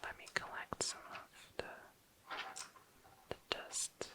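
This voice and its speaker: Slow, bright whispering ASMR host. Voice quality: breathy whisper. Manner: slow, hushed, unhurried. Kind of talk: whispered ASMR, casual chat to viewers. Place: tabletop indoors.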